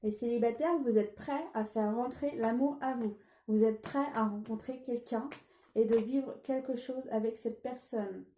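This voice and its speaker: A woman's voice: on the high side, steady tone, a little slow, mellow, roomy sound, slight background noise. French-speaking woman reading tarot, with a cold. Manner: hesitant, many fillers and restarts. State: has a cold. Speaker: tarot card reader. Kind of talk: casual tarot reading vlog.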